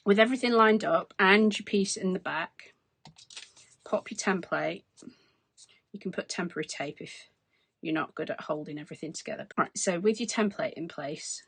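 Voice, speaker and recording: A woman in her forties explains a craft project at her desk, her voice husky and hoarse as if it is fading. She sits a touch low in pitch and talks in a chatty, step-by-step way. The sound is close and dry. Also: a bit bright, dry recording. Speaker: craft tutorial host. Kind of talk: casual step-by-step crafting demonstration. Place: craft desk indoors, overhead camera.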